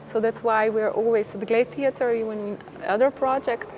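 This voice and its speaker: A woman's voice: a little high, steady tone, normal speed. A woman who works in theatre, explaining her views in an interview. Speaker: theatre worker being interviewed. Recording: clearly noisy ambient background.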